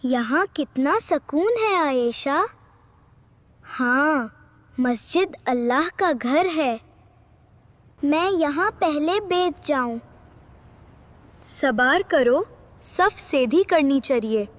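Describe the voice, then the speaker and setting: Earnest, well-behaved young cartoon child, very high and unhurried, played by a woman. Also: expressive, heavily acted. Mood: calm. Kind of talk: children's moral cartoon dialogue.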